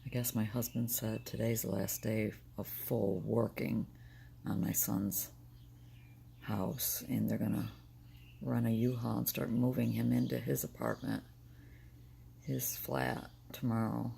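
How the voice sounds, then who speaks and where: An older woman with a deep, gravelly, smoker-like morning voice, tired and short on sleep, talking candidly in a rambling personal video diary. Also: flat delivery, unhurried, mellow, slight background noise. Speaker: vlogger.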